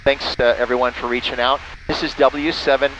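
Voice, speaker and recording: A man's voice: mid-range voice, steady tone, fairly quick, fairly bright tone, muffled recording, slight background noise. An American man, a pilot and radio amateur, making radio calls and casually narrating his flight.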